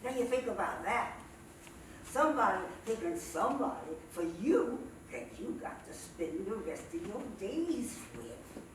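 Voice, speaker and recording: A woman performing as a sassy, cranky old woman comedian, telling stand-up stories in a folksy, drawn-out way with dramatic pauses. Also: lowish voice. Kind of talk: theatrical stand-up storytelling monologue. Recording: echoey, noisy stage recording from far off.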